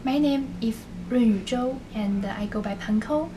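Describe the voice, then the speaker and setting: A woman's voice: fairly high pitch, unhurried. A young woman from Beijing, a native Chinese speaker who chooses her English words carefully as she answers interview questions. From Beijing, China. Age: young adult. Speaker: interviewee, finance student. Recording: distant mic with clear background noise.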